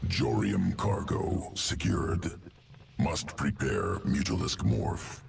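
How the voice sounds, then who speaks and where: A man voicing a cold, clinical alien creature in a video game, gravelly, speaking in clipped, detached, analytical fragments. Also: deep voice, big pitch swings, takes it slowly, very noisy, exaggerated voice. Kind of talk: video game character dialogue.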